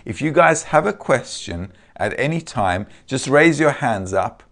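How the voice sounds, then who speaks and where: A man's voice: medium pitch, animated, unhurried, gravelly, fairly bright tone. A man with a Turkish accent giving a casual lecture over a video call, often checking in with his listeners. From Turkey.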